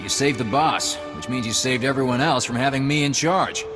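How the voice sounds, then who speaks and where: A friendly starfighter pilot voiced by a man in a sci-fi game. He is casual and joking, in a mid-pitched voice, with music playing clearly underneath. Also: moderate pace, close to an everyday voice. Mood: grateful, joking. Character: wisecracking, friendly male starfighter pilot, second in command. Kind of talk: video game cutscene acting.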